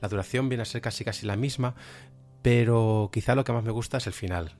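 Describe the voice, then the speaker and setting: A man's voice: mid-range voice, fairly quick, a little mellow, soft background music. A man from Spain with a Spanish accent giving an informal, reflective spoken review as a podcast host, a little hesitant.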